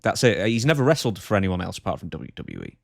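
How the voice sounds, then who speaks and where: Low-voiced man, casual podcast co-host. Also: huge pitch swings, quick pace, gravelly, no echo, studio quality. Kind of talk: casual chat.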